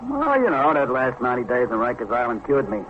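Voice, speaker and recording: Glib New small-time crook, muffled recording. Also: male voice, medium pitch, wildly swooping pitch, quick pace. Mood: glib, chummy. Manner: streetwise slang, ingratiating protests. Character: glib small-time ex-con numbers racketeer, feigning honesty. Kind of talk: old-time radio drama acting. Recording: muffled, noisy vintage radio recording.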